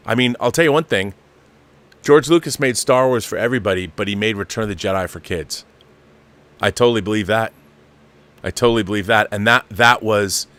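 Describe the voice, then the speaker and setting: A man's voice: on the low side, a bit bright. A middle-aged or older man hosting a live show, giving opinionated, casual film commentary and repeating phrases for emphasis.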